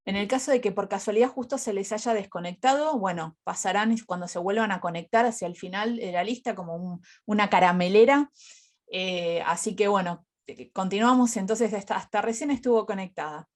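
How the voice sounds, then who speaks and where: A woman moderating an online academic conference, introducing speakers in a halting, hesitant way. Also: medium pitch. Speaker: session coordinator and moderator.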